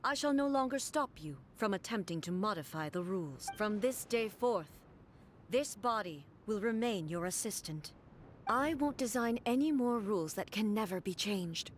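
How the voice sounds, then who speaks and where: A woman playing a proud, stern warrior ruler in a video game, speaking in a formal, measured and grave way. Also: mid-range voice, animated, a little hiss or hum, close to an everyday voice. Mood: calm, resolved. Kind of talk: scripted video game voice acting.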